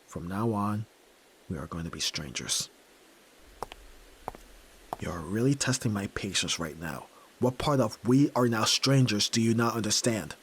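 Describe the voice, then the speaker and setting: A man's voice: fairly low pitch, expressive, unhurried, long pauses, a little hiss or hum. A young man playing a brusque, tormented nerd who is a reluctant yakuza heir, in a dramatic audio roleplay, speaking huskily and curtly. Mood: stern, conflicted. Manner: brooding, curt, pushing the listener away.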